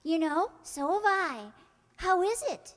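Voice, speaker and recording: Woman playing a young niece, very high lilting voice, slow, wistful, earnest, radio comedy. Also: a bit bright, exaggerated voice. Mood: amused, fond. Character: dreamy, affectionate, innocent young niece. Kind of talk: old-time radio comedy acting. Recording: echoey hall with long reverb.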